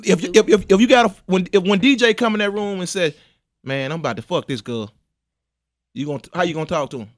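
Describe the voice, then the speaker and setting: Pushy high-voiced man. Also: fast talker. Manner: pushy questioning, stumbling restarts. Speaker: podcast co-host. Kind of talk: casual group chat. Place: small radio studio. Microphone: boom-arm studio mic.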